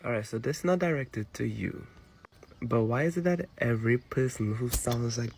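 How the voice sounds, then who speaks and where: A man's voice: medium pitch, expressive, moderate pace, creaky, a little mellow. A man with a Trinidadian accent in an exasperated, casual rant, recorded with some background noise.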